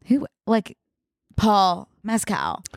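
A woman's voice: medium pitch, huge pitch swings, very slow, dry recording, studio quality. A young woman co-hosting a podcast, chatting casually and telling a story with lots of likes and ums. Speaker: podcast co-host. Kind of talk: casual chat.

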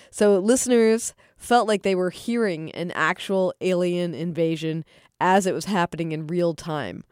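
A woman podcast host reads a prepared introduction. Her voice sits on the low side, her delivery is measured, and the sound is dry and close. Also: a little mellow, no echo.

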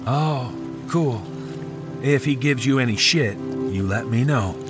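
A man's voice: mid-range voice, slow pace, music in the background, pitched above the performer's own voice, close to an everyday voice. A man voicing a lovelorn teenage boy who acts nonchalant, in an audio story. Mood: feigned nonchalance. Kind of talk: audio horror story dialogue acting.

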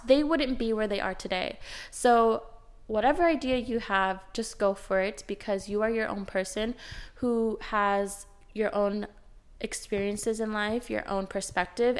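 Nasal, raspy-voiced woman with a cold. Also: on the high side, a bit bright. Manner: chatty, direct, occasionally swears. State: has a cold. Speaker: podcast host and life coach. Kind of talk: casual solo podcast monologue.

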